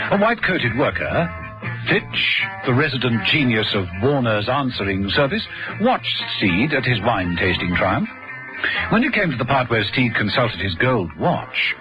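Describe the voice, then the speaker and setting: A man's voice: mid-range voice, natural voice, poor audio. A man narrating a scripted vintage radio spy drama as a wry, dramatic storyteller.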